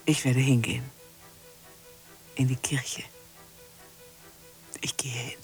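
Slow, halting, lonely middle-aged spinster. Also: female voice, extremely low pitch, flat delivery, vocal fry, soft background music, full character voice. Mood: wistful, withdrawn. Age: 40s. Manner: halting, terse fragments, murmured self-talk. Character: lonely spinster of forty-four, brooding over small routines. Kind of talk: radio drama interior monologue.

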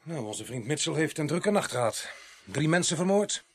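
An actor playing a sardonic, bossy police sergeant in a radio play, brisk and commanding. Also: male voice, fairly high pitch, big pitch swings, slightly rough, full character voice. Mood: amused, musing. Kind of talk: radio drama acting.

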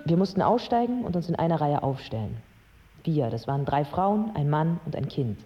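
An actress playing a proud, angry yet compassionate Iraqi woman in her twenties, in a measured radio drama monologue. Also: low voice, very animated. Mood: firm, bitter. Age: 20s. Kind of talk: literary first-person radio drama monologue. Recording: dull sound with music underneath.

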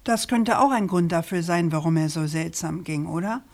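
An actress playing a probing, composed woman journalist in a German radio crime drama, speaking in a measured, articulate and insistent way. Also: fairly low pitch, darkish tone, soft background music, natural voice. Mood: quietly skeptical. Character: persistent, probing female journalist, composed and precise.

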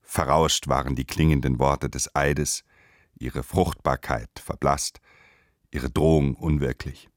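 A man narrating a radio play in a measured, deliberate way, reading literary prose. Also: extremely low pitch, steady tone, no echo.

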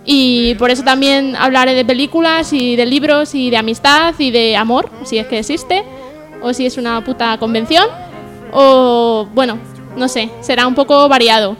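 A woman's voice: high voice, moderate pace, a bit bright, soft background music. A woman presenting an informal radio monologue in a hesitant, self-deprecating but earnest way. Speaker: section presenter on a humour radio show.

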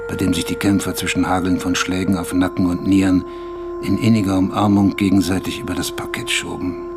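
A man reading a scripted, literary essay as narrator in a measured manner. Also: low-pitched, creaky. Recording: loud music bed under narration.

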